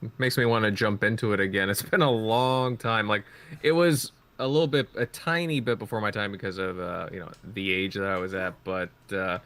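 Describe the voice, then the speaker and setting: A man's voice: fairly low pitch, steady tone, brisk, a bit bright. A man in his thirties chatting in a laid-back, hesitant way on a podcast. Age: 30s. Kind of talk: casual podcast chat.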